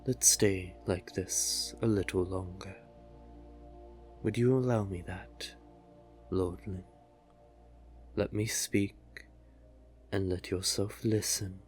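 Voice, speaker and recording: Slow talking merman sea witch, guy acting it, soothing n coaxing, hypnotic audio drama w music behind. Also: deep voice, fairly even intonation, a little mellow. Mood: doting, coaxing. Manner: soothing, coaxing, teasing, deliberate. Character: seductive, doting, manipulative male sea witch, a scaled merman.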